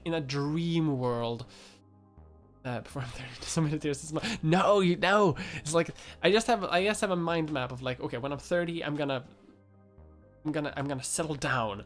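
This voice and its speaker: A man's voice: on the high side, quick pace, faint music behind. A man in his twenties from Europe, chatting casually on a livestream, rambling with many fillers and restarts and laughing often. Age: 20s. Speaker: YouTuber.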